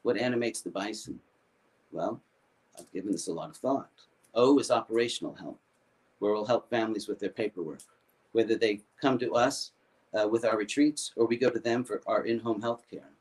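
An older American man telling a personal story in a halting way, often repeating and restarting his words. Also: lowish voice, low-quality recording. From United States. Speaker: foundation founder.

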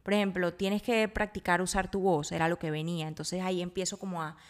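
A woman's voice: on the low side, level tone, brisk. A woman hosting a solo podcast, talking reflectively and informally, earnestly working out her thoughts aloud. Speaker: podcast host.

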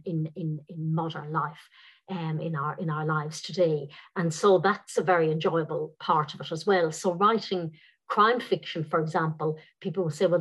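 Low-voiced woman. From Ireland. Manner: thoughtful, many repeated words and restarts. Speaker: novelist guest. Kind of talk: interview answers.

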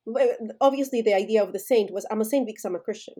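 Woman from Colombia, husky voice, warm dark tone, emphatic, repeats phrases. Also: on the high side, fast. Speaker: podcast guest, activist. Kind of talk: conversational interview.

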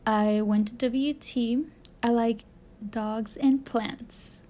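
A woman's voice: fairly high pitch, fairly even intonation, slow pace, mellow, a little hiss or hum. A woman in her twenties from El Paso, co-hosting a podcast and telling stories in a laid-back, matter-of-fact way. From El Paso, Texas. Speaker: podcast co-host. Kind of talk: casual chat.

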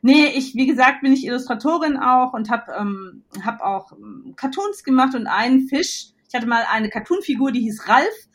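A woman in her forties from western Germany, chatting as a podcast guest in a bubbly way, laughing often and filling pauses with "ähm". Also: high-pitched, animated. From North Rhine-Westphalia, Germany. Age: 40s. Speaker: podcast guest, illustrator and start-up founder. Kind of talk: casual interview chat.

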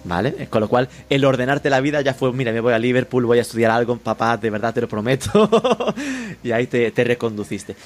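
A man's voice: medium pitch, lively intonation, a little fast. A man hosting an interview, asking chatty, jokey questions. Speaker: podcast host and interviewer.

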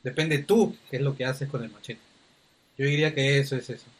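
A male podcast host talks in a relaxed, conversational way, often tagging his sentences with a questioning "¿no?". He pads his speech with fillers like "este". The sound comes from a video call. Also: mid-range voice, brisk. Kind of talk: casual podcast interview.

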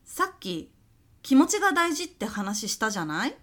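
Woman playing a bright, breezy lady boss. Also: on the high side, very animated, moderate pace. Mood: cool, pointed. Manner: casual, chummy boss talk that probes pointedly. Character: sly, breezy senior female client executive, testing a vendor. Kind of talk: comedy radio sketch acting.

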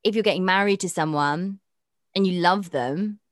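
A young woman with a notably low voice talks frankly and chattily as an interview guest, thinking out loud as she goes. The sound is dry and close, with no room around it. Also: normal speed, no echo. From Pakistan. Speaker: presenter and dating podcast host, guest. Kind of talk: candid interview conversation.

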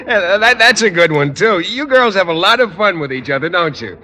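A man's voice: high-pitched, lively intonation, moderate pace. An actor playing an earnest, oblivious man who teaches school, literal-minded and hesitant, in an old radio sitcom. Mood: amused, oblivious. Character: earnest, naive, oblivious bachelor male schoolteacher. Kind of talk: 1940s-50s radio sitcom acting. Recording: hissy vintage radio recording.

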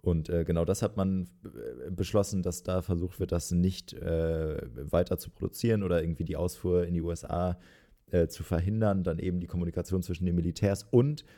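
Deep, mellow young man joking. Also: fairly even intonation, a little fast. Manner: joking, laughs often. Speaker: podcast co-host. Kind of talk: casual political chat.

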